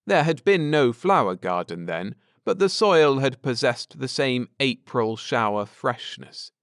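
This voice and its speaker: A man narrates a bedtime audiobook at an unhurried pace. His voice is smooth and resonant, with lively intonation, recorded close and dry in a measured storyteller's delivery. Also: medium pitch, no echo. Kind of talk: scripted bedtime story narration, read aloud.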